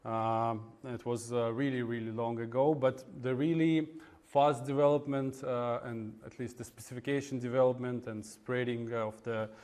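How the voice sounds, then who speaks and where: A man from Russia with a Russian accent giving an informal technical talk at a meetup, in a halting, hesitant way with frequent pauses. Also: mid-range voice, fairly even intonation, a little mellow. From Russia (Moscow). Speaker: conference speaker, web developer. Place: indoor meetup room in front of an audience.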